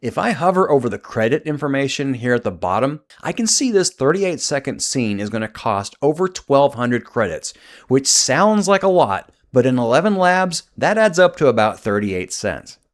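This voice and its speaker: Man, tutorial host, scripted narration, animated pitch movement, mid-range voice. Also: normal speed.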